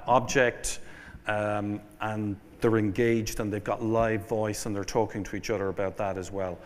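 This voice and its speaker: Irish man, keynote speaker, lowish voice, long hall reverb. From Ireland. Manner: measured, listing points with fillers and restarts. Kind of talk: conference keynote. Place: conference hall.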